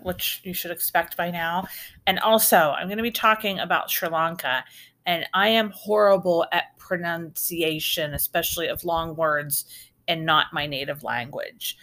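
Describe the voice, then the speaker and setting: A woman's voice: lowish voice, a bit bright. A middle-aged American woman hosting a podcast, telling travel stories in a chatty, rambling way with restarts and asides. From United States. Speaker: podcast host. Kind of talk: casual storytelling podcast.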